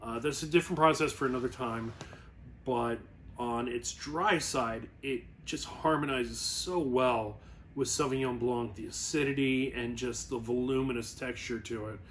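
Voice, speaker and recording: A man's voice: mid-range voice, steady tone, normal speed, a bit bright, slight background noise. A man presenting a wine review in a casual, rambling explainer style, often correcting himself as he goes. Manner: informal, rambling, self-correcting.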